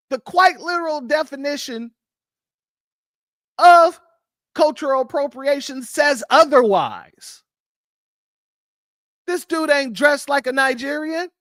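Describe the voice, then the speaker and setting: A livestream host speaks with heated emphasis. The pitch leaps up and down constantly. The sound is dry, with no room around it. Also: expressive, takes it slowly, pauses often, a bit bright, no echo. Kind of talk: livestream commentary.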